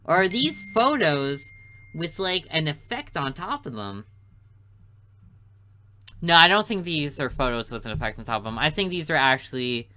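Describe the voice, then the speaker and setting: Bright-voiced young man doing game commentary. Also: fairly high pitch. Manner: rambling, joking asides. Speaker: gaming video host. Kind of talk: casual playthrough commentary.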